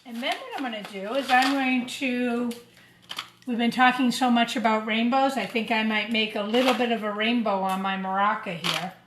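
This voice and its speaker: A woman's voice: a little high, moderate pace. A woman teacher giving an informal craft demonstration for young children, talking through each step as she works. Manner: talks through each step while working. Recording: roomy echo, clear background noise and clatter.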